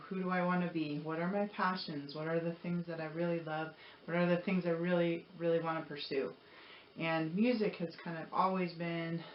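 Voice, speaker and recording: A woman telling her personal story in a casual, motivational vlog style, conversational and repeating phrases for emphasis. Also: fairly low pitch. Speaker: vlogger. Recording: roomy echo, dull sound, faint noise.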